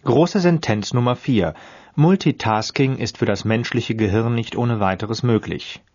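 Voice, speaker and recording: A man delivers an experimental spoken-word piece in a dry, declamatory way. His tone turns without warning from flat instruction to sudden emphasis. The recording sounds dull and lo-fi. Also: medium pitch, a little slow. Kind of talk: experimental radio piece reading.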